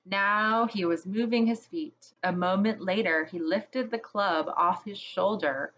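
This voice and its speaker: A woman reading a children's chapter book aloud, slowly and expressively, as a storyteller for kids. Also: medium pitch, lo-fi sound, slight background noise. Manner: slow, gentle, expressive reading.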